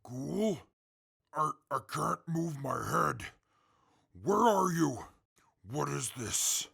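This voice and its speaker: Casting: male actor as domineering wasteland prisoner, heavily creaky and raspy, halting, blunt and irritable, for audio drama. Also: fairly high pitch, lively intonation, pushed and bright, exaggerated voice. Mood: groggy, confused. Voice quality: very gravelly, raspy. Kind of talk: post-apocalyptic audio drama acting.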